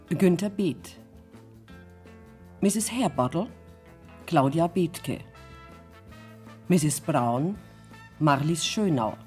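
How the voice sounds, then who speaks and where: Slow, formal woman radio announcer. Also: fairly low pitch, mellow. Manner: measured, pausing between items. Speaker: radio announcer reading cast credits.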